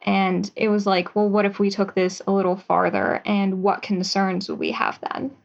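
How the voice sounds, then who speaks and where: A young woman talks easily and brightly about her work as a guest, laughing now and then. Her voice sits in a middle range, and the recording is somewhat muffled. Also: medium pitch, little pitch movement, moderate pace, gravelly. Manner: chatty, upbeat, with light laughter. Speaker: guest writer and actress. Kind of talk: casual interview.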